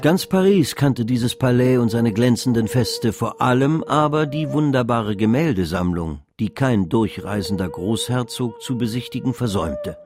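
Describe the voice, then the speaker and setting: An actor narrating a literary radio drama as a wry, worldly storyteller, measured and ironic. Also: male voice, medium pitch, animated, over music, natural voice. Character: wry, worldly male storyteller of Parisian high society.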